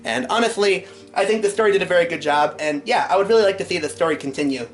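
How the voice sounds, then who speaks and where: A man's voice: sits high, fast talker, soft background music. A man reading fan fiction aloud and commenting on it as the show's host.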